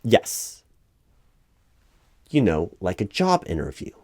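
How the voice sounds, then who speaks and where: Low-voiced man as a halting, officious interviewer. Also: huge pitch swings, a little mellow, no room sound. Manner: halting, officious, patiently explanatory. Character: flustered, officious male recruiting manager at a company. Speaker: job interviewer in a one-sided roleplay. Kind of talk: loud-spoken ASMR roleplay, improvised interview.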